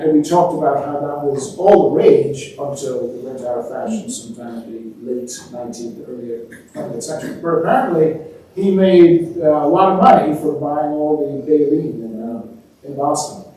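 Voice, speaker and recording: Man, slightly high voice, conversational lecture, storytelling, rhetorical questions, very reverberant room. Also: moderate pace, dark tone. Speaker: museum talk presenter. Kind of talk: informal public history lecture with storytelling. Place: indoor museum talk before a live audience. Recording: very echoey room, distant mic, faint noise.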